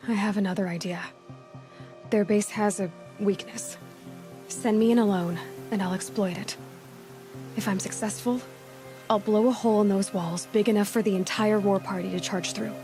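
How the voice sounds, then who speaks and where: Woman acting a bold young huntress, medium pitch, wry, direct, determined, game dialogue, music behind. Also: fairly even intonation, normal speed, lightly acted. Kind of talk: video game dramatic dialogue.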